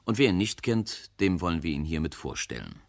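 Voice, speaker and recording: A man presenting a crime radio play in formal 1960s announcing style, addressing the listeners in measured phrases. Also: deep voice, big pitch swings, dry recording, clean studio sound.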